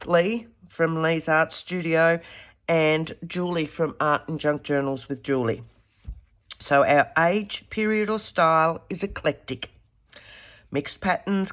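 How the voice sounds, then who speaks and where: A woman with a stuffy head cold, chatting casually while she works through a craft project. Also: low-pitched. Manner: chatty, self-talking while working. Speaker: craft video host. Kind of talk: casual craft tutorial chat.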